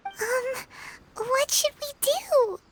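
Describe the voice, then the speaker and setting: A woman voicing a tiny, anxious, childlike video game companion with a squeaky voice and a hesitant, exclamatory manner. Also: lively intonation, drawn-out words, slight background noise, heavily acted. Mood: worried.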